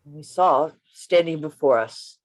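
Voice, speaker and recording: A woman's voice: low voice, slow. A woman playing an earnest male poet-policeman who narrates his strange adventure in an amateur radio play reading. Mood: suspenseful narration. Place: remote reading over a video call.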